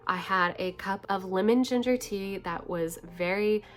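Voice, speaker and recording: A young woman with a cold, sounding nasal and stuffed up, chatting softly in a casual diary-style vlog and laughing between phrases. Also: mid-range voice, unhurried. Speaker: vlogger.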